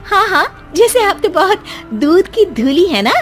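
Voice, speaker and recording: Woman voicing a high, feisty young Indian daughter-in-law. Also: very animated, unhurried, faint music behind, full character voice. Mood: indignant sarcastic. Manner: sarcastic, indignant. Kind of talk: animated cartoon story dialogue.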